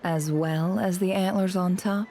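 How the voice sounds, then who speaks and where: A woman's voice: fairly low pitch, steady tone, a little slow, mellow, soft background music. A woman voicing a sly, opportunistic woman in a fantasy video game.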